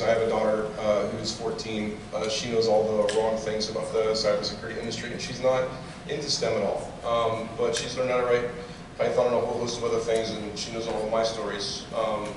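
A middle-aged man introducing the next speaker in a large auditorium, relaxed and rambling with lots of fillers. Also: on the low side, background noise. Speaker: event organizer introducing a speaker. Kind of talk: casual introduction. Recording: echoey hall from far off.

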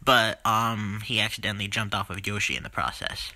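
Someone voicing a sarcastic, bright-edged sidekick brother. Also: low-pitched, lively intonation, fairly quick, no room sound, heavily acted. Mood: defensive. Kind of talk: amateur comedic game parody voice acting.

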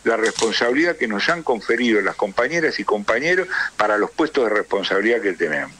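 An Argentine man speaking as a political interview guest, emphatic and rhetorical, building points through deliberate repetition. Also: lowish voice, very animated, bright, forceful tone, slightly rough. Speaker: interview guest, trade union leader.

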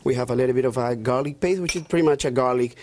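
A male chef from Peru explains his cooking on a TV segment. His medium-pitched voice is agreeable and a bit halting, with repeated words. Light noise and clinking kitchenware are behind him. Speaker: chef, guest demonstrating a dish. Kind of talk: explaining a recipe in a TV interview.

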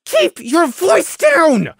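Narrator doing a snarky jumpy pony guy, higher voice, sweet mocking tone. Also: wildly swooping pitch, drawn-out words, a bit bright, no room sound, exaggerated voice. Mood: hissing. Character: sardonic wary male pony scout.

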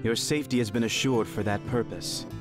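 A man's voice: fairly low pitch, a little fast, natural voice. An actor playing a cold, ruthless male government official who speaks in a clipped, dismissive way. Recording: game cutscene with music behind.

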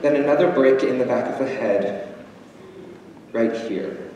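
A young man performing a theatrical monologue as a proud, wounded, defiant drag queen, with deliberate, cutting delivery and dramatic pauses. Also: mid-range voice, mellow, highs cut off, lightly acted. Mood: grim, defiant. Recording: distant, noisy, very echoey auditorium recording.

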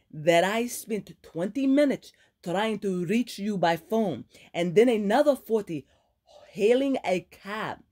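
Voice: medium pitch, pitched above the performer's own voice. A reader voicing a fiery woman doctor, scolding her friend in a raised, sarcastic voice. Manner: exasperated, sarcastic, lively scolding.

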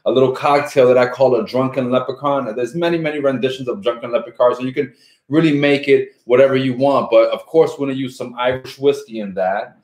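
A man's voice: mid-range voice, a little mellow. A middle-aged man from New York City, hosting a live home cooking stream with his wife, talking enthusiastically and chattily, picked up by a distant camera mic. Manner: enthusiastic, chatty, drawn-out asides. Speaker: cooking show host. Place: home dining room set up as a studio. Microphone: far camera mic. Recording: echoey room with kitchen clatter.

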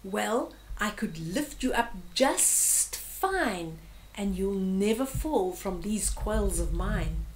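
A woman's voice: medium pitch, animated, takes it slowly, poor audio. A woman voicing a sly male python in a storybook, with a hissing, whispery voice and oily, mock-polite sympathy. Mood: sly coaxing.

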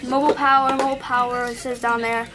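A woman's voice: a little high, little pitch movement, fast talker. A young woman with an Indian accent, casually reviewing a gadget at her home desk while thinking aloud and correcting herself. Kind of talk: casual unboxing vlog. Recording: noisy home camera recording.

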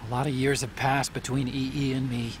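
An apologetic male tech expert, played by a man in a game cutscene. His medium-pitched voice is hesitant and full of guilt, with faint noise behind. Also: little pitch movement, brisk, lightly acted. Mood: regretful. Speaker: voice actor in a video game. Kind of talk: dramatic game cutscene acting.

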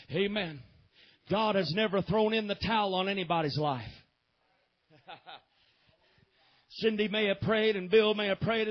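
A man preaching a sermon as pastor, swinging between shouted, fervent climaxes and low, quiet stretches, with frequent "amen". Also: dull audio.

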